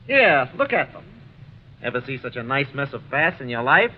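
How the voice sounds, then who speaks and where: An actor playing a slippery thief who poses as a genial angler, in the style of 1950s radio drama. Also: male voice, mid-range voice, big pitch swings, moderate pace, lightly acted. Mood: genial, eager. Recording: dull, noisy old radio recording.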